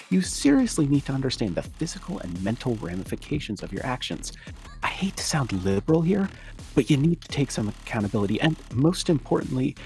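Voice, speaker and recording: A man playing a pompous, scornful internet commenter in an overwrought comedic dramatic reading. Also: medium pitch. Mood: disgusted, pleading. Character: pompous, outraged male internet commenter, disgusted and theatrical.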